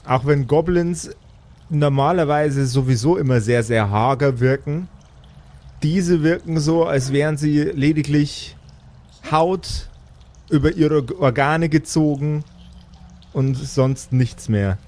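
A man narrating an improvised tabletop game as game master, with deliberate, dramatic phrasing. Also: on the high side, darkish tone.

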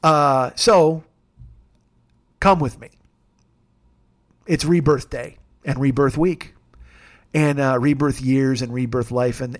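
A man in his forties hosting a podcast alone, talking in a rambling, jokey, self-deprecating way with a gravelly voice. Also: fairly high pitch. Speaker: podcast host. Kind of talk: casual solo monologue.

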